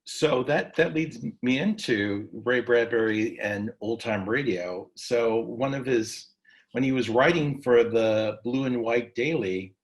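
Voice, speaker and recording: Male host for a casual interview session, conversational delivery, halting and prone to false starts. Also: medium pitch, poor audio. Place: recorded virtual session.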